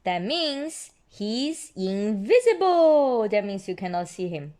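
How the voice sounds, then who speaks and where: A woman's voice: medium pitch, sing-song, takes it slowly, warm tone. A woman voicing a playful, bossy little girl sheep in a children's storybook, explaining and chiding.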